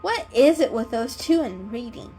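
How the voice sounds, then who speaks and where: A woman voicing a curious, excitable young female kitty in a storybook reading, with an eager, questioning manner. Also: fairly high pitch, normal speed, faint music behind, natural voice. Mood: perplexed, irritated.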